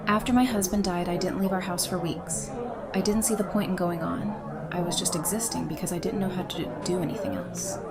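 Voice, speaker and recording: A woman's voice: on the low side, fairly even intonation, fairly quick, lightly acted. An actress playing a wry, candid, friendly woman who talks conversationally in an audio drama. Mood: earnest, confiding. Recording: party music and crowd behind.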